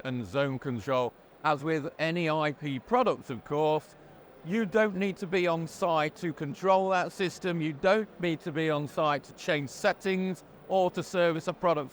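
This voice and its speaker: Higher-voiced man presenting, measured and explanatory. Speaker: presenter and interviewer. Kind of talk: product explainer interview. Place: trade show floor. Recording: faint background noise. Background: faint ambient noise.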